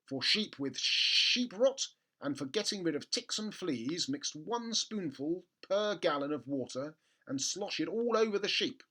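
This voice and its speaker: A storybook label announcer, acted out by a man, pitched high and declaiming each item slowly. The delivery is formal, pompous and emphatic. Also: animated, bright, forceful tone, full character voice. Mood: warning. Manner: slow, deliberate, declaiming.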